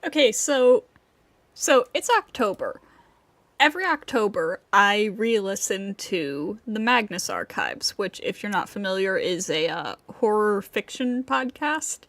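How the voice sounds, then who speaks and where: A woman streaming a game with casual, playful, giggly commentary that gets halting when she explains. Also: medium pitch, very animated, fairly bright tone, no echo.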